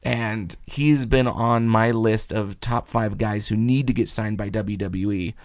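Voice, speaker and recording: A man with a hoarse voice full of gravelly fry talks casually as a podcast co-host. He spins long, enthusiastic stories. His voice sits close in a dry space with no room sound. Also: fairly low pitch, fairly even intonation, a little slow, a little mellow, no echo. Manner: enthusiastic, rambling storyteller. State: hoarse from a recent concert. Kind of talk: casual chat.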